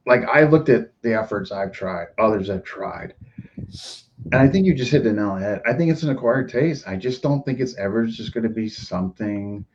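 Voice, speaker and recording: A man hosting a podcast in a casual discussion, agreeable and quick to chime in with affirmations. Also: lowish voice, animated, a little mellow. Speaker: podcast host. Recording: dull muffled audio with faint noise.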